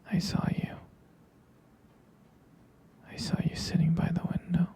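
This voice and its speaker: Whispering, gravelly, soothing ASMR boyfriend. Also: male voice, on the high side, warm tone. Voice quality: breathy, husky whisper. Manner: soothing, tender, hesitant and halting. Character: tender, protective boyfriend lulling partner to sleep. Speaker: ASMR roleplay performer. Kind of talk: boyfriend ASMR bedtime roleplay. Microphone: close microphone.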